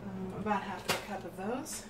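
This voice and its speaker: A woman's voice: mid-range voice, moderate pace. A woman giving a casual home cooking demonstration, thinking aloud hesitantly as she works. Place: home kitchen, indoors. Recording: noisy home kitchen recording.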